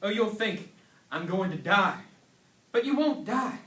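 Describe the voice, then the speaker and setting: A man's voice: high voice, animated, a little slow, pushed and bright. A man giving a dramatic staged reading of a sermon, telling it like a story in pointed, emphatic phrases. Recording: echoey hall, recorded from far off.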